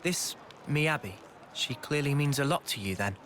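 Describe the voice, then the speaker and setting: Man acting a bright-voiced, resolute young hero. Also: mid-range voice, lively intonation, moderate pace, slight background noise, natural voice. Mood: understanding. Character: earnest, decisive young male leader hero. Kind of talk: video game cutscene acting.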